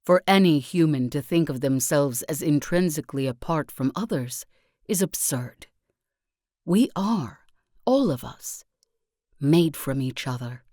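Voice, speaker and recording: Serene female self-help leader for a strategy game: very unhurried, soft-edged tone, earnest, solemn, preacherly. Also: low-pitched, lively intonation, dry recording, clean studio sound. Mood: earnest, reverent. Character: serene female spiritual self-improvement guru, earnest and uplifting. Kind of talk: scripted game voice lines, motivational speech.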